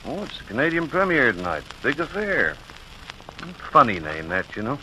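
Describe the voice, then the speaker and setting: A man's voice: mid-range voice, huge pitch swings, moderate pace, sharp tone, heavily acted. An actor playing a mild, curious middle-aged family father, musing aloud in 1940s radio style. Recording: noisy old 1940s radio recording.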